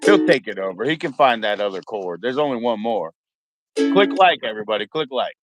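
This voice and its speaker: A man's voice: mid-range voice, animated, fairly quick, over music, rough recording. An American man chatting casually as a livestream host, in a laid-back, slangy, encouraging way. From Maryland, United States.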